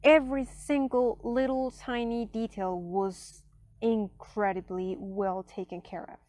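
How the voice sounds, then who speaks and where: Woman, spanish first language, slow chatty deck review, lots of likes and soft almost whispered bits, dry close sound. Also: on the high side, level tone, no echo. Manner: chatty, many fillers, drops to soft near-whispers. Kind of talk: casual unboxing review. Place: indoors at a table.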